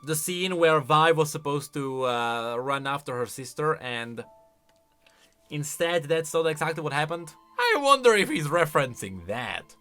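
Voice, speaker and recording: A man's voice: fairly high pitch, sing-song, measured pace, sharp tone, clean tone, faint music behind. A man giving chatty, informal, opinionated reaction commentary on video game lore.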